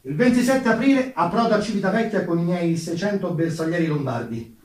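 Male actor as proud defiant patriot major, declamatory stage monologue, high voice, roomy distant sound. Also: expressive, fairly quick. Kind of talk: theatrical first-person monologue.

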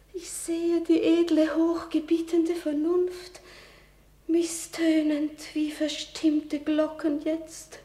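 Gentle, devout young noblewoman, classical radio drama. High-pitched, solemn and slow, wounded, with roomy reverberation. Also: exaggerated voice. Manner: plaintive, solemn, declamatory. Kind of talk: classical stage tragedy in German radio drama. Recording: roomy, reverberant studio sound.

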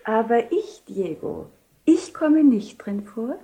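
An actress playing a gentle, dignified duchess and loving wife in a radio play, speaking in a soft, restrained, tender manner. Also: female voice, on the high side, lively intonation, takes it slowly, low-quality recording. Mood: calm, gracious. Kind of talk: 1970 radio play acting.

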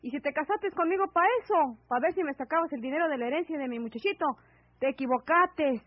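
An actress playing a stubborn, distrustful country wife with a rural northern Mexican accent and firm, scornful retorts, in an old radio serial. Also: female voice, high-pitched, fast talker, dry recording, lightly acted. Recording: dull vintage AM radio drama recording.

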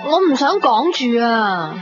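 A woman's voice: on the high side, sing-song, unhurried. An actress with a Hong Kong Cantonese accent playing a sensitive, stubborn young daughter in a radio drama, who also narrates her own love story. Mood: evasive. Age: young adult. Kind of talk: radio drama acting with reflective first-person narration. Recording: dull 1990s radio recording under music.

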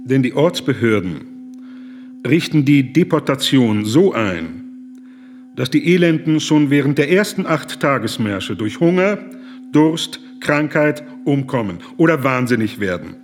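An actor playing an earnest, indignant German humanitarian, speaking formally, deliberately and with moral urgency in a radio play. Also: male voice, mid-range voice, big pitch swings. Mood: grave indignation.

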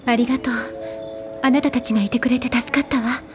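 An actress playing a troubled, caring elder sister confiding in audio drama dialogue. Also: female voice, a little high, steady tone, fast, faint music behind, natural voice. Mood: bewildered, worried.

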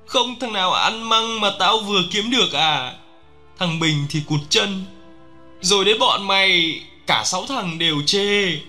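High, anguished young soldier crying out. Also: male voice, animated, unhurried, bright, forceful tone, soft background music, full character voice. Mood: anguished, grieving. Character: young male soldier screaming in grief for fallen comrades.

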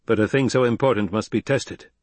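A man voicing a stern, proud leader of a desert tribe, grave and forceful. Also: fairly low pitch, brisk, no echo, close to an everyday voice.

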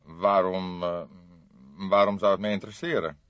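A man voicing a grey-haired, courteous and shrewd old detective in an audiobook, speaking in a measured, formally polite way. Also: sits low, steady tone, no echo, muffled recording, close to an everyday voice. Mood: probing, polite. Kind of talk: audiobook character voice.

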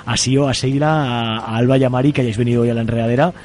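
Chatty, fast-talking male radio host from Spain. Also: medium pitch, fairly even intonation, a little mellow. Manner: informal, chatty. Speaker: radio show host interviewing guests. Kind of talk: casual radio interview.

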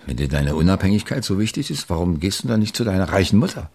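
An actor playing an impatient, careless male lover in a German radio drama, needling and husky-voiced. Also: low voice, big pitch swings, quick pace, a little mellow, heavily acted.

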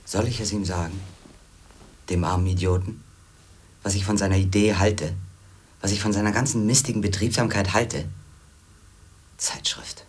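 Low-voiced, brooding disillusioned man. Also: slightly rough. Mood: bitter. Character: brooding, disillusioned former magazine editor, insistent and bitter. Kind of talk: German radio drama acting with inner monologue.

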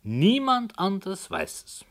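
An actor playing a matter-of-fact storyteller who narrates and directs the play. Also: male voice, high-pitched, big pitch swings, slow, full character voice. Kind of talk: radio drama narration.

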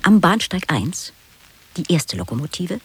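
Animated male radio play narrator. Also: on the high side, unhurried, husky. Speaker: narrator reading titles and stage directions.

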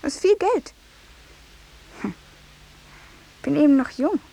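A woman's voice: high voice, expressive, slow, gravelly, warm tone, full character voice, rough recording. An actress playing a young, coquettish but commanding lady in a 1950s German radio drama, coy and self-assured. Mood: conspiratorial. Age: young adult. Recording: vintage 1950s radio recording.

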